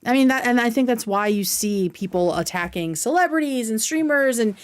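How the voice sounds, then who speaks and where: A woman's voice: a little high, expressive, brisk. Woman doing casual livestream commentary. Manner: opinionated, informal, explains at length. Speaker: livestream host. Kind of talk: casual commentary reacting to clips.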